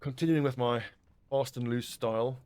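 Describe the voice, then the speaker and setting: A man's voice: mid-range voice, steady tone, normal speed, a little mellow, clean tone. A man casually commentating his own strategy game play, thinking aloud and swearing now and then. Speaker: gaming video host.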